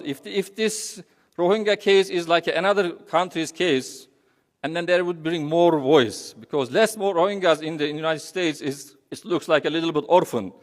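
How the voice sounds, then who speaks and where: A man with a Turkish accent gives an informal talk in a hall with long reverb. His voice sits a little high, and he speaks haltingly, circling back and repeating phrases as he goes. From Turkey. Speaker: humanitarian charity representative speaking. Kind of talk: informal public speech.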